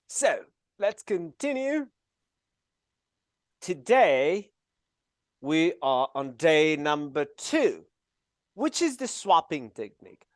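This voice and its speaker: Man, mexican accent, chatty live class teacher, smooth clear voice, dry close sound. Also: fairly high pitch, no room sound. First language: Spanish. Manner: chatty, laughs often, many fillers. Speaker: animation instructor.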